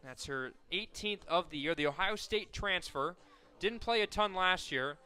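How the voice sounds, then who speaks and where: A man with an American accent does live softball play-by-play for radio. His voice sits a little high and rings bright and clear. He talks conversationally through the details, ready to lift for the action. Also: a little slow, soft background music. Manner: conversational between plays, excited calls on action.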